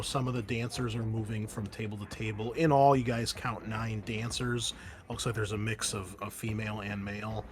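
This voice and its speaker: A man running a tabletop roleplaying game as game master, narrating off the cuff in a halting, conversational way. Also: mid-range voice. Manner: halting, conversational, thinking aloud. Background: background music.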